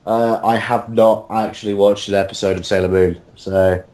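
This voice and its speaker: Casting: man with a lowish voice, casual interviewee, hesitant and self-deprecating. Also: fairly even intonation, normal speed, dark tone. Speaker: cast member in a group interview. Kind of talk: casual chat.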